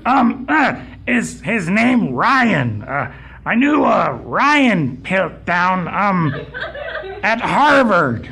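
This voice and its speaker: An actor playing a sly, fumbling villain's henchman in a comedic audio drama, muttering and halting. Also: medium pitch, big pitch swings, creaky, sharp tone, full character voice. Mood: flustered.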